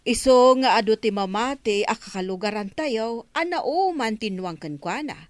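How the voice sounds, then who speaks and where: A woman's voice: fairly high pitch, expressive, moderate pace, no echo, lightly acted. An actress playing a matter-of-fact, gossiping village woman in an Ilocano-accented radio drama. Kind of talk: Philippine radio drama acting.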